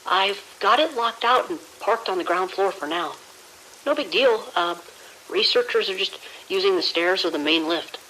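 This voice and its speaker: Actor as hesitant junior security guard, faint hiss. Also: male voice, high voice, animated, moderate pace, sharp tone. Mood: casual, hesitant. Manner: hesitant, deferential, casual. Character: deferential, awkward junior male security guard. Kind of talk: audio drama radio report. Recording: hissy, crackly radio-like noise.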